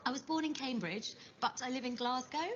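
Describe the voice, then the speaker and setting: A woman's voice: high voice, fairly quick, natural voice. An actress playing a cheerful young woman chatting with a friend, speaking enthusiastically in a learning skit. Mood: enthusiastic. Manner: enthusiastic, gushing. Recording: muffled lo-fi video audio.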